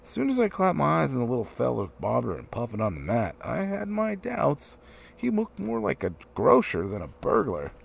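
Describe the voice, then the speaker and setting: A man's voice: mid-range voice, huge pitch swings, normal speed, vocal fry, full character voice. A man voicing a blunt, skeptical, scornful dwarf in a storybook reading. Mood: scornful, doubtful. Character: gruff, scornful male dwarf grumbling with doubt.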